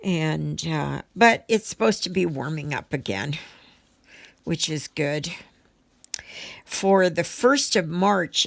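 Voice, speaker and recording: A woman chatting casually as she does her crafts, rambling in a halting, unhurried way and thinking aloud. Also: low-pitched, vocal fry, fairly bright tone, no room sound. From Canada. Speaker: craft vlogger. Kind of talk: casual rambling chat while stitching.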